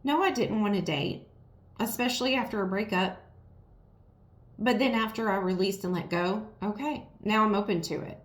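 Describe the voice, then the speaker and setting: Hoarse woman tarot reader, mid pitch, blunt and repetitive, roomy echo. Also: moderate pace. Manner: frank, repetitive, talks straight to the viewer. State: raspy, strained voice from yelling. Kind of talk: casual tarot reading to viewers.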